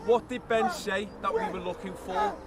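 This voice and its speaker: A man coaching at a training session on an outdoor pitch, instructing players urgently and asking them questions. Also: normal speed, over a noisy crowd. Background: loud music.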